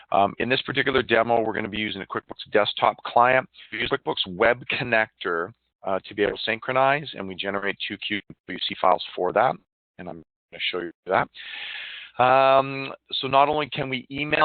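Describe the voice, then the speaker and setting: A man presenting a software demo in an informal, explanatory walkthrough, plain-spoken, with frequent ums and uhs. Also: fairly low pitch, no room sound. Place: online screen-share demonstration. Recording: narrow phone-like line.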